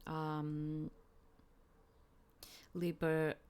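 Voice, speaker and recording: A woman giving casual, rambling gameplay commentary, thinking aloud with hesitant pauses and lots of filler words. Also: low voice, completely flat delivery, darkish tone, smooth. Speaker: game streamer, let's player.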